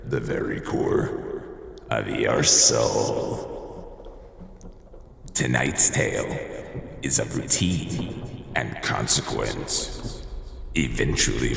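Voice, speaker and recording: A man playing a sinister, theatrical horror anthology host with a gravelly voice, drawing out his ominous words. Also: rumbling low, a bit bright, heavily acted. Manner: ominous, drawn-out delivery. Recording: echoey long reverb, faint noise.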